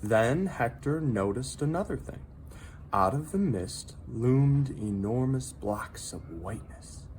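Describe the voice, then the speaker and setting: Expressive, unhurried storybook reader. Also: mid-range voice, slight background noise. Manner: dramatic, drawn-out emphasis. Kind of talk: expressive children's read-aloud narration.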